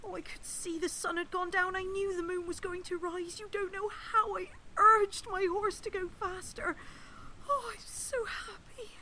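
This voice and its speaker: Eager nervous young woman, audio drama, high-pitched, bright, breathless, gushing, faint background noise. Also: level tone, normal speed. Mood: breathless joy. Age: young adult.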